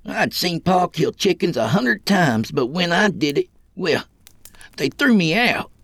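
A man's voice: sits high, sing-song, unhurried, gravelly, a bit bright, no echo, voice pushed up, exaggerated voice. A man voicing a young, defiant, jumpy jailed killer with folksy rural speech in a narrated story. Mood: wounded, bitter.

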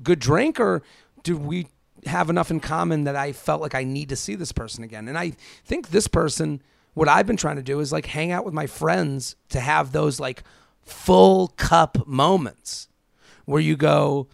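A man in his thirties speaks in a fairly high voice with a lot of fry, recorded close and dry. He chats casually like an advice podcast host, firing off rhetorical questions and acting out imagined quotes. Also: moderate pace, no room sound. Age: 30s.

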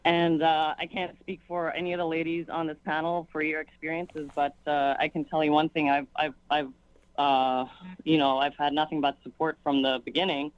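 A woman's voice: deep voice, flat delivery, clean tone, dry recording, dull audio. Nervous woman calling in on the phone. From outside Canada. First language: not English. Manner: earnest, halting, many restarts. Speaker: caller, firefighter. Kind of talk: call-in radio talk.

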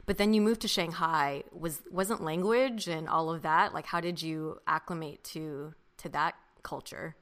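A woman's voice: sits low, unhurried, hardly any pauses, a bit bright. A woman hosting a podcast who asks casual interview questions and murmurs agreement. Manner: brief affirming murmurs. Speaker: podcast host.

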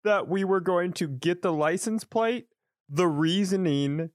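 Seorang pria co-host obrolan komedi santai, menanggapi dengan celetukan jenaka dan sinis. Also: male voice, high-pitched. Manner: quick wry reactions, frequent laughter. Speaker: podcast co-host. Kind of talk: casual comedy chat, reacting.

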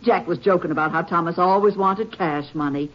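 A woman's voice: fairly low pitch, a little fast, lightly acted. A woman playing a bitter, plain-spoken country wife, blunt and folksy, in a 1950s radio drama. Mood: matter-of-fact. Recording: muffled 1950s radio recording.

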